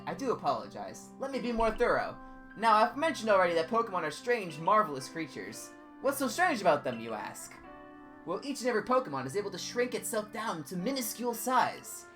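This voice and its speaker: A man voicing a fussy, excitable male scholar who speaks in polite, old-fashioned phrases and swings high with alarm. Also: fairly quick, soft background music. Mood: explaining.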